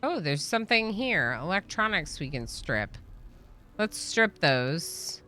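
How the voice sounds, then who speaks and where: A woman game streamer with a fairly low, bright, forward voice. She talks casually and chattily to her viewers while she plays a role-playing game. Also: very animated, slow. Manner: chatty, reads game dialogue aloud.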